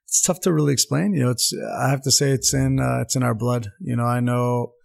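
A man's voice: medium pitch, steady tone, a little fast, gravelly. A young man whose first language is Armenian, a soccer coach speaking earnestly as an interview guest, with many fillers and restarts. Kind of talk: casual interview talk.

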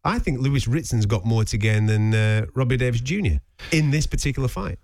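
Radio sports host, brisk and matey, mid-pitched everyday voice. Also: male voice. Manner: energetic, friendly, uses mate.

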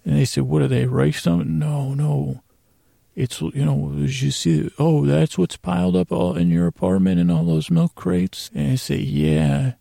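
A man hosting a podcast with a rambling bedtime monologue, meandering, halting and circling back on his own sentences. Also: fairly high pitch, gravelly, warm tone, no room sound, clean studio sound. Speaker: podcast host.

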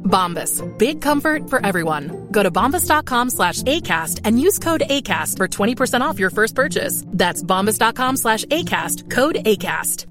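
A woman reading offer codes and taglines for an advertisement in a brisk way. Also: fairly high pitch, big pitch swings, music in the background.

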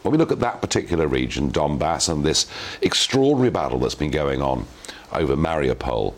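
A man hosting a broadcast talk show, giving opinions forcefully and interviewing a guest. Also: a bass voice, animated, a little fast.

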